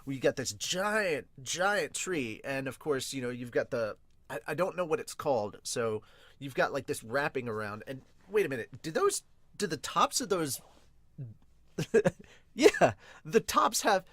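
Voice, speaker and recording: Guy doing manga review podcast, medium voice, excitable n rambly, casual, dry recording. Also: medium pitch, a little slow, no room sound. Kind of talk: casual reaction commentary with read-aloud.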